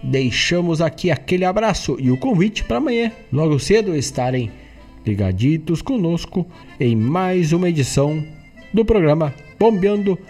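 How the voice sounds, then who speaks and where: A man's voice: on the high side, animated, normal speed, a little mellow, soft background music. A man with a southern Brazilian gaúcho accent announcing as a radio host. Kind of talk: radio announcing, reading a playlist.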